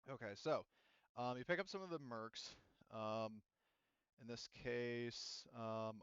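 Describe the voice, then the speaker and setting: A man acting as game master, casually narrating and making rulings in a halting, rambling way that often interrupts itself. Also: on the low side, clean tone, dry recording, highs cut off.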